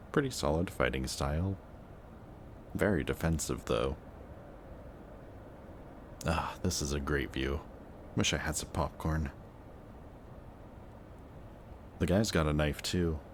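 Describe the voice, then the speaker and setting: A man playing a sardonic, gruff but protective antihero villain in an intense, emotional audio roleplay. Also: a bass voice, slight background noise. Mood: wry, watchful.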